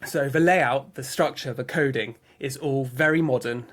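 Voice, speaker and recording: A man's voice: medium pitch, normal speed, a bit bright. A man chatting casually as an interview guest, explaining things informally and often peppering his sentences with "like".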